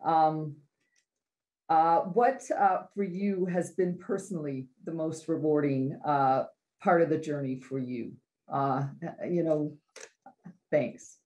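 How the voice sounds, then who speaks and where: Middle-aged woman, fairly low voice, panel moderator on a video call, brisk, polite hand-offs. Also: steady tone, darkish tone. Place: home office.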